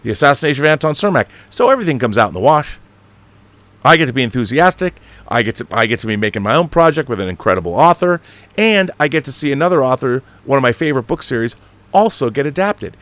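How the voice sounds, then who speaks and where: A man's voice: fairly high pitch, fairly bright tone, dry recording. Emphatic, opinionated man hosting a livestream. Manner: opinionated, emphatic fan enthusiasm; rambling, swears casually. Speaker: online show host. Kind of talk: casual livestream monologue and chat reading.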